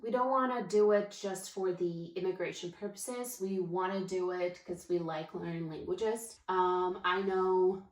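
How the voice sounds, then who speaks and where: Slow-talking woman vlogging. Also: on the low side, steady tone. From Ukraine. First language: Ukrainian. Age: late 20s. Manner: chatty, thinking aloud with fillers and restarts. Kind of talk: casual chat to camera. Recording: echoey room.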